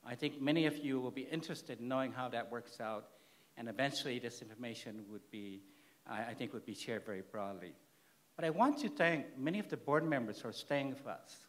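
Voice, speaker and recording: A man's voice: medium pitch, fairly bright tone, low-quality recording. Earnest Thai man giving a speech. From Thailand. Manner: earnest, halting with restarts and repeats. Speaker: executive director of a charity. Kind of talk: conference plenary speech. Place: large conference hall.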